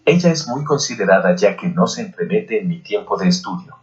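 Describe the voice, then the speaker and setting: A man's voice: fairly low pitch, animated, a little fast, lo-fi sound, lightly acted. A man voicing an eager, respectful young Aztec student scribe answering his lord, as in an audiobook. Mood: nervous lying.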